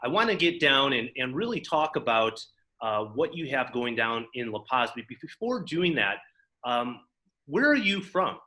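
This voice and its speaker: A man with a bright, ringing voice hosts an interview in a middle register. He is friendly and casual, using small fillers as he introduces guests and asks questions. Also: medium pitch, very animated, moderate pace. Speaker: interview host. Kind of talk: conversational interview.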